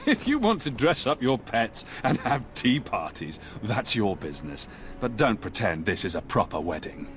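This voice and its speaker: An actor playing an arrogant, lecherous villain lord with a smug, mocking, drawling delivery in a video game. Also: male voice, fairly low pitch, sing-song, normal speed, a bit bright, a little hiss or hum.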